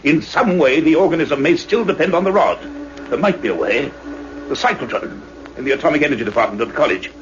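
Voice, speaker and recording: A man playing an earnest scientist doctor in a radio play, narrating his own adventure in a measured, suspenseful, literary way. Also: a little high, lively intonation, lightly acted. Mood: urgent. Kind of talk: dramatic first-person radio play narration. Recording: dull noisy radio play recording.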